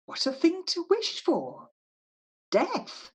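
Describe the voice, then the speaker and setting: A woman acting in a radio play as a brisk, wry, fussing mother with a bossy, scolding manner. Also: very high voice, huge pitch swings, full character voice. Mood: alarmed.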